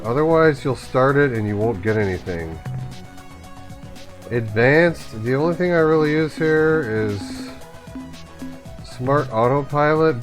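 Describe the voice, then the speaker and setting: Casual man narrating a setup walkthrough, faint music. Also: fairly low pitch. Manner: informal, thinking aloud. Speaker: tutorial host. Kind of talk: casual step-by-step software walkthrough.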